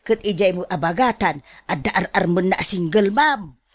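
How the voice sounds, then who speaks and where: A woman's voice: fairly low pitch, expressive, normal speed. A woman playing a nosy, admonishing older sister and mother in a radio drama, emphatic and gossipy. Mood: nosy, teasing.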